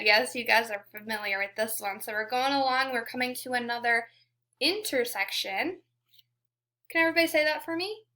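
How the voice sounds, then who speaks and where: A woman's voice: high voice, sharp tone. A woman teacher reading a picture book aloud to young children, asking questions and giving frequent encouraging praise. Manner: encouraging, prompting, repeats praise to listeners.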